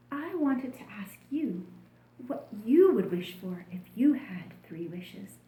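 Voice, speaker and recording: Female children's storyteller, soft warm tone, expressive deliberate reading, echoey room sound. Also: fairly high pitch. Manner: expressive, deliberate storytelling addressed to young listeners.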